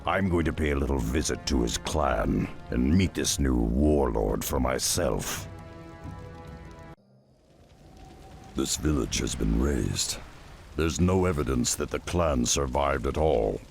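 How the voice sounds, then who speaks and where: An actor playing a rugged, stoic half-orc warrior in a fantasy game, plain-spoken and steady. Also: male voice, rumbling low, measured pace, vocal fry, soft background music, heavily acted. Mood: reassuring, resolute. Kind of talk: fantasy game cutscene acting.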